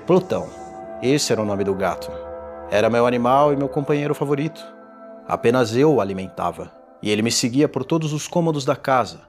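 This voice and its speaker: A man playing a tormented condemned man in a gothic horror audio drama, confessing in a formal, theatrical and deliberate delivery. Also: medium pitch, expressive, close to an everyday voice. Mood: wistful, grave. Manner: theatrical, deliberate, ornate diction. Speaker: narrator. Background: music.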